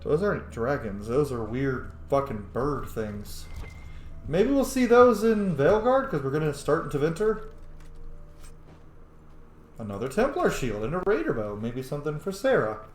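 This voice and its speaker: A man's voice: medium pitch, sing-song, normal speed, pauses often. A man doing casual, profane commentary as he plays a fantasy roleplay game, reacting aloud to what happens. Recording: roomy, faint background noise.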